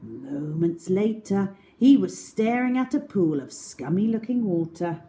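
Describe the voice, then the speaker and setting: A woman narrating a children's story in an expressive, scripted read-aloud style. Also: medium pitch, measured pace.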